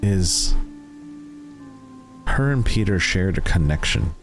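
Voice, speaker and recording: Guy running a tabletop game, super slow n deliberate, dramatic pauses, deep voice that moves around a lot. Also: expressive, over music. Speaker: game master.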